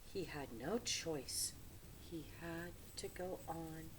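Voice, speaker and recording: A woman reading a children's picture book aloud with dramatic, deliberate phrasing, speaking to young listeners. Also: low-pitched. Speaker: read-aloud storyteller. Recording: steady background noise.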